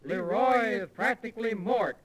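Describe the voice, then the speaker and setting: A man's voice: high-pitched, expressive, moderate pace, over loud music. A man playing a bombastic French field marshal crying out cheers in a 1930s radio comedy on an old transcription disc. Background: loud trumpet fanfare.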